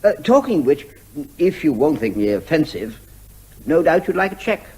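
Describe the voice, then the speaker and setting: An English actor playing a cheeky, unflappable out-of-work performer posing as a neighbour in a comic radio drama, jaunty and wryly genteel. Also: male voice, a little high, sing-song, moderate pace, a little mellow, slightly rough, full character voice, poor audio. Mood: breezy, wry.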